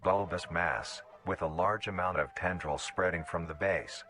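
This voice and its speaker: A man's voice: deep voice, normal speed, sharp tone, faint music behind. A man reading scripted documentary-style narration for a horror video in a formal, clinical way.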